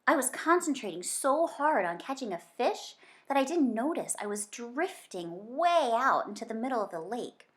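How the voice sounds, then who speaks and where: Higher-voiced woman reading a storybook aloud. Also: normal speed. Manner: dramatic, varying from hushed suspense to emphatic excitement. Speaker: children's book reader. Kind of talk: expressive storybook read-aloud.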